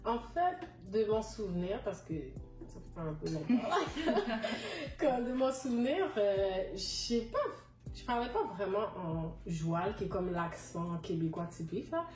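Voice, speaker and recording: A woman's voice: mid-range voice, animated, measured pace. Frank young woman, distant and echoey. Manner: frank, emphatic, mixes English words. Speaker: panel guest. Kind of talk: casual discussion. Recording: echoey room, distant mic, soft music.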